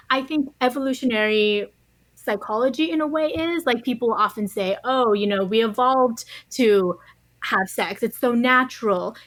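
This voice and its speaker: A woman gives considered answers in a conversational podcast interview. Her voice sits at a middling pitch, and she qualifies her points with care. The sound is dry and free of room echo. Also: fairly bright tone. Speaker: podcast guest, author.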